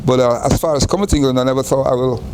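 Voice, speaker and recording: An older man from Guyana, telling his life story in an interview in an unhurried way, often repeating and restarting phrases. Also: medium pitch, darkish tone, a little hiss or hum, rough recording.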